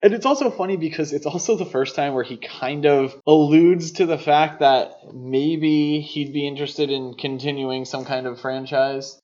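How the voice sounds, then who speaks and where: A man's voice: a little high, normal speed, hardly any pauses, a little mellow. Dull-sounding male podcast host talking film. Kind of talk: casual film discussion.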